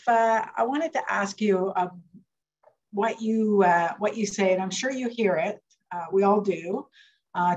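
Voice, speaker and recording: A host moderating a town hall on a video call, in a friendly, conversational way. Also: medium pitch. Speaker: host, councillor.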